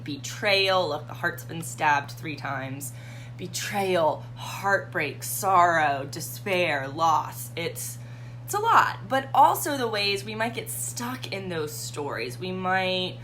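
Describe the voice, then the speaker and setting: Woman, slightly hoarse, bright tone, chatty tarot host, faint hum behind. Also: fairly low pitch, lively intonation, slow pace. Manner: chatty, warm, greets viewers by name. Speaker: tarot reader hosting a live stream. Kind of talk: casual live tarot forecast.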